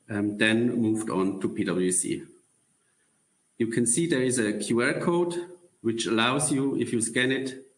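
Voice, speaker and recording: Guy presenting a career webcast, slow and halting, lots of ums, echoey room. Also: mid-range voice. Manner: slow, halting, many ums and throat clears. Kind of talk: corporate presentation.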